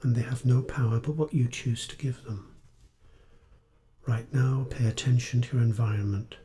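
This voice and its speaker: A man guides a meditation with a dark, relaxed tone and a middling pitch. His delivery is gentle and unhurried, leaving space between short phrases as he instructs the listener. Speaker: meditation teacher.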